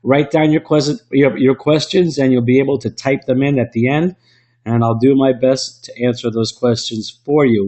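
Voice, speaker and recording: Slightly rough-voiced man presenting. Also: mid-range voice, darkish tone. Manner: salesy, with restarts. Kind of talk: online webinar presentation. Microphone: computer microphone.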